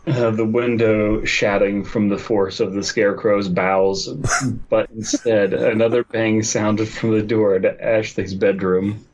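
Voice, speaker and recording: A man's voice: fairly low pitch, flat delivery, a little slow, highs cut off. A man co-hosting a podcast, reading a story aloud and breaking into crude jokes. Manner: joking, crude. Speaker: podcast co-host. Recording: clear background noise with clicks.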